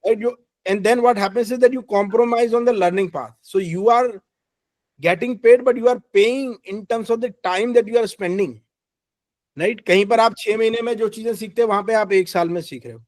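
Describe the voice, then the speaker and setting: A man with an Indian English accent, a guest speaker and engineering head giving career advice with a loud, emphatic delivery, slipping between English and Hindi and often checking in with "right?". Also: high-pitched, racing, no echo.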